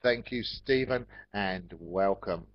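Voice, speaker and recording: A man with an English accent teaching an online class in a measured, polite and encouraging way with simple wording. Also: deep voice, no room sound. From England. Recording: dull, lo-fi online call audio.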